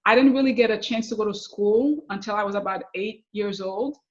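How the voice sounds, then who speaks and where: A middle-aged woman with a Haitian accent telling personal stories as an interview guest, often halting and repeating words. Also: medium pitch. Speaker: podcast guest, success coach. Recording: slightly dull, lo-fi connection.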